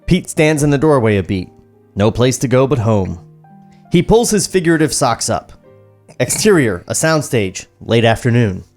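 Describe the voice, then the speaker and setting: A man's voice: medium pitch, big pitch swings, moderate pace, darkish tone. A man reading screenplay stage directions at a podcast table read, with joking asides. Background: background music.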